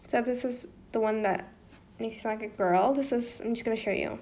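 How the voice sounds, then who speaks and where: A woman's voice: a little high, fairly quick, a little mellow. A woman presenting a casual how-to tutorial in an informal, slightly hesitant way, as if thinking aloud.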